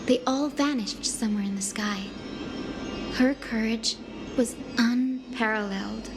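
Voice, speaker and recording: A woman voicing a composed, knowledgeable and gently reverent guide in a fantasy video game, calmly explaining. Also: fairly high pitch, a little slow, music in the background. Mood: solemn, admiring. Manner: calm, explanatory. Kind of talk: video game story dialogue. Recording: long hall reverb, clear background ambience.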